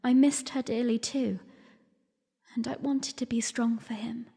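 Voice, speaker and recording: A woman playing a young princess who narrates her own story in a formal, reflective audio drama style, earnest and weary with a quiet defiance. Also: a little high, fairly even intonation, measured pace, vocal fry, breathy edge, full character voice. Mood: reflective, wistful. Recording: long reverb, music underneath. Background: music.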